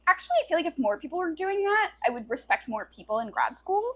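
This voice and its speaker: High-pitched young woman, wry and chatty. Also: big pitch swings, quick pace. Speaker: podcast co-host. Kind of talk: casual book review chat.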